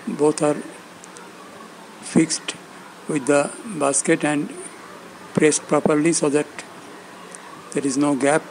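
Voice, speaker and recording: A man narrating instructions step by step, speaking in short, halting phrases with pauses between them. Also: fairly high pitch, little pitch movement, a little mellow, slight background noise, poor audio. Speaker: instructor demonstrating loudspeaker assembly.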